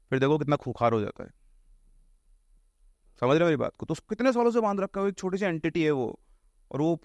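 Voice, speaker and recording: A man's voice: on the high side, no room sound. A man telling ghost tales casually in an explanatory way, mixing in English words. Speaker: storyteller on a horror podcast.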